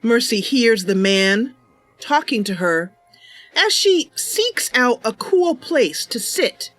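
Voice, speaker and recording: A woman's voice: fairly high pitch, sing-song, takes it slowly, a bit bright, no room sound, soft background music. A woman hosting a roleplay game livestream, chatty and welcoming, switching between casual commentary and narration. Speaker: streamer, roleplay game host.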